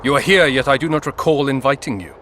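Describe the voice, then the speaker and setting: An actor playing a proud, resolute young prince in a video game, with a formal, measured, haughty manner. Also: male voice, mid-range voice, a bit bright, slight background noise, close to an everyday voice. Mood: firm. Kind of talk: dramatic video game acting.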